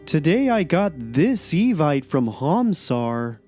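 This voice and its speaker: A woman's voice: sits low, sing-song, takes it slowly, dark tone, faint music behind, heavily acted. A woman playing a resigned, self-deprecating cartoon blogger, giving a wry, downcast dramatic reading of a diary. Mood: moody.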